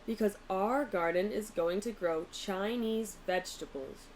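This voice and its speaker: A woman voicing a calm, patient storybook mother, reassuring and matter-of-fact. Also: mid-range voice, a little slow, slight background noise, close to an everyday voice, low-quality recording.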